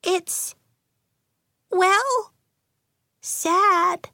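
Woman voicing a shy, high-pitched schoolgirl. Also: dry recording, studio quality, heavily acted. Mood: shy, hesitant. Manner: soft-spoken, halting, repeats words in threes. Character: shy, sad, earnest schoolgirl telling her troubles.

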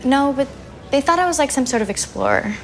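A woman's voice: high voice, animated, brisk, slight background noise. An actress playing an earnest, apologetic teenage girl whose family is from Argentina, talking in a rambling way.

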